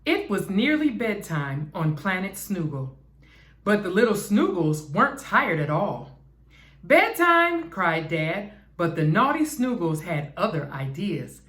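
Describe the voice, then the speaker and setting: A woman reading a children's bedtime story aloud as an expressive narrator. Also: lowish voice, a little slow. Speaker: storybook reader. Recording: echoey room.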